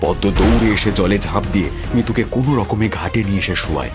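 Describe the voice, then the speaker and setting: A man's voice: lowish voice, quick pace, music in the background. A man narrating a scripted horror story.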